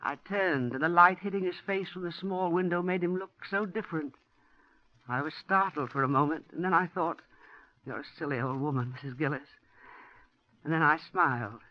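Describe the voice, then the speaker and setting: An actress playing an older landlady who narrates and scolds in a brisk, old-fashioned way, in 1940s radio acting on a lo-fi old broadcast. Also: low-pitched, close to an everyday voice. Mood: narrating.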